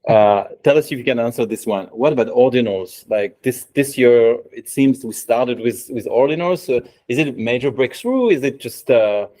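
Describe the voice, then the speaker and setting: A man's voice: mid-range voice, lively intonation, moderate pace, few pauses, mellow. A man moderating a panel discussion at an evening event, with brief questions and restarts.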